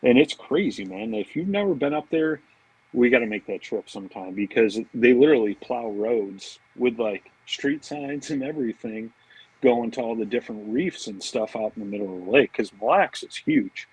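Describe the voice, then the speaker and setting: A man's voice: medium pitch, animated, normal speed, darkish tone, husky, no room sound, low-quality recording. A man chatting casually and telling informal stories as a podcast guest. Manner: informal, anecdotal, peppered with "man" and "you know". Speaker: podcast guest, fishing marketing director. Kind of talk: casual chat.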